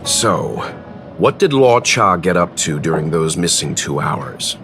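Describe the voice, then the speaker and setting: Calm middle-aged mentor, video game, deep voice, slow measured delivery, dry humor, music behind. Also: male voice, heavily acted. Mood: measured, reasoning. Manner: measured, polite, dryly humorous. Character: calm, composed, gently amused male mentor.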